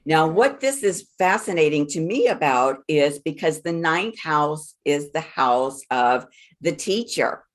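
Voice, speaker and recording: Slow-spoken woman with a lowish voice. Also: lively intonation. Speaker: astrologer giving a birth chart reading. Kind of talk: explanatory one-on-one spiritual reading.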